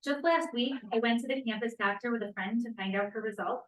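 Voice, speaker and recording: A woman's voice: on the high side, fast talker, close to an everyday voice. A woman acting an anxious, candid young college feminist in a stage play table read. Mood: embarrassed, dramatic. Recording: echoey room, distant mic.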